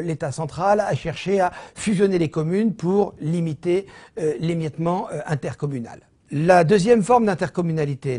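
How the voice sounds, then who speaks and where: A man with a French accent from France giving an explanatory lecture as a professor, in a didactic, measured manner with frequent hesitations. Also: fairly high pitch, dark tone. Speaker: business school professor giving an online lecture.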